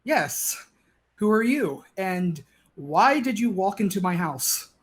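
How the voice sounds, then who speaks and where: Fussy, accusing neighbor played by a man, high voice, sarcastic complaints in a stage comedy. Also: big pitch swings, takes it slowly, exaggerated voice. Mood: bewildered, indignant. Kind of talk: comedic stage play acting.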